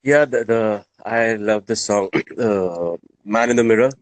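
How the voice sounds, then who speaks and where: A man in his forties from India, a singer and interview guest, speaking slowly and deliberately. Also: on the low side, no echo. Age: 40s. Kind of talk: casual interview chat.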